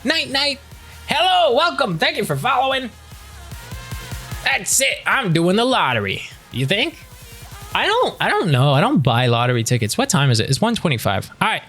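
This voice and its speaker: A man's voice: high voice, sing-song, moderate pace, bright, forceful tone, faint music behind. A man chatting casually with his live-stream viewers before starting a game, reading their messages aloud and answering them.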